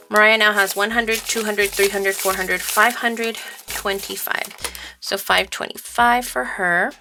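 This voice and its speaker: Woman, casual budgeting vlogger: bright, forward voice, informal halting delivery, faint music underneath. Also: medium pitch. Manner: informal, halting storytelling interleaved with counting. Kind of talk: casual chat while counting cash aloud.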